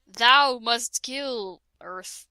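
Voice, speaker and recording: A woman's voice: on the high side, extremely slow, sharp tone, clean tone, no room sound. A young woman reading a fan fiction aloud for laughs, breaking off into jokes and mock-dramatic voices. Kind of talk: comedic dramatic reading with chat.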